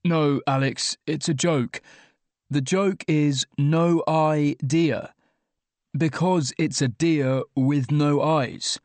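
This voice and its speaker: A man's voice: on the high side, a little slow, darkish tone, no room sound, lightly acted. A man voicing an exasperated, sardonic young man, the hapless hero of an audiobook, wry and emphatic. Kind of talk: audiobook character dialogue.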